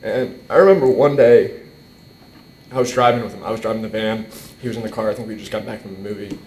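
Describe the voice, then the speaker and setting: A young man giving an informal eulogy, emotional and halting as he repeats words, at a stand microphone indoors. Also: darkish tone. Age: young adult. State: emotional, struggling to keep composure. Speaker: eulogist at a memorial, nephew of the deceased. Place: indoor hall. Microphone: microphone on a boom stand. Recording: roomy echo, faint rumbling noise.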